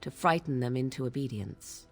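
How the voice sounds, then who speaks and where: A woman's voice: very deep voice, animated, moderate pace, faint music behind, exaggerated voice. An actress voicing an aloof, sly witch in a fantasy video game, speaking in arch, old-fashioned formal phrases. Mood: amused, wry. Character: aloof, sly witch with a wry, mocking temper.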